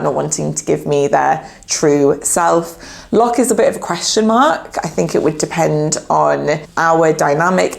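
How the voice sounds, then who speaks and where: Low, gravelly-voiced woman with a cold. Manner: chatty, repeats filler words like yeah and like. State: slightly nasal from a cold. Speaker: therapist YouTuber. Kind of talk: casual talk to camera.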